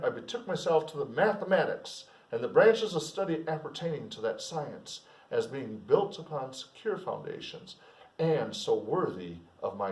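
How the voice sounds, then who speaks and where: A man reading classic literature aloud like a teacher, with informal explanatory asides and an expressive manner. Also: medium pitch. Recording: roomy echo, distant microphone.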